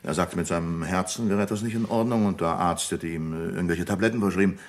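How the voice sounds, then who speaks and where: Deep-voiced, discreet businessman. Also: steady tone. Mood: hesitant, recalling. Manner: formal, deliberate, hesitant pauses. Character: discreet, composed businessman giving testimony, male. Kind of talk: German radio crime drama acting.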